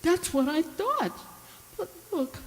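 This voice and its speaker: Woman acting a distraught, high-voiced radio drama wife. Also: sing-song, takes it slowly, heavily acted. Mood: frightened, distraught. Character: frightened, distraught wife in an eerie radio mystery. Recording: echoey hall, live stage performance.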